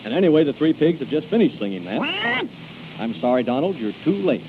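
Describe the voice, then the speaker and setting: A man's voice: medium pitch, sing-song, fast, noisy background. A man hosting in 1930s radio style, theatrical as he moves between comic banter and announcing. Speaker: radio show host and announcer. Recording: tinny 1930s radio broadcast, loud music.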